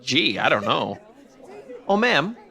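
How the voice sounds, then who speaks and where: A man's voice: fairly high pitch, slow pace, creaky, some chatter behind, heavily acted. A man playing Archie, an eager, easily flustered teenage boy who stammers, in old-time radio comedy style. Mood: flustered, polite. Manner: excitable, stammering when flustered. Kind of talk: 1940s radio comedy acting.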